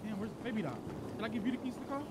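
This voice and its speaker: Fast, high-voiced man in game chat. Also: lively intonation. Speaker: fellow player in a role-play game. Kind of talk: in-character gaming voice chat, street slang. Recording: noisy, distant game voice chat.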